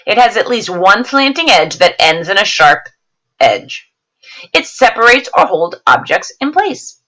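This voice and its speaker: A woman's voice: mid-range voice, a bit bright. A woman teacher reading a science lesson aloud, explaining it to students and checking in with questions.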